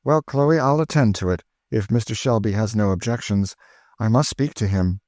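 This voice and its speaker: A man voicing a kind, composed mistress of a household, speaking in a measured, proper way in a storybook reading. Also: lowish voice, darkish tone, no room sound, studio quality, natural voice. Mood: gentle.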